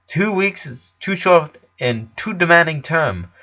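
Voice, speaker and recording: A man's voice: fairly high pitch, animated, unhurried, pushed and bright, dull audio, voice pushed up. A man voicing a four-year-old genius girl, serious and stubborn, speaking in formal, precise, wordy sentences for a story. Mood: grave, determined.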